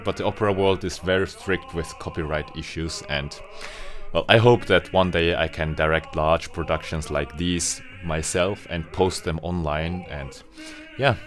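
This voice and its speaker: A man's voice: sits low, a bit bright. A man from Austria, an opera director, narrating his own vlog in an earnest, appreciative way.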